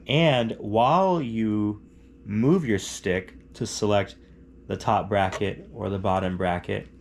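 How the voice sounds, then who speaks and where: A man's voice: on the low side, a little mellow, slight background noise. A man with a cold and a nasal, stuffed-up voice, explaining a setup step by step like a casual tutorial. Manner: step-by-step explaining, often checks in with "okay?". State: congested with a cold. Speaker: hobbyist demonstrating a radio setup. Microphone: camera on a handheld tripod.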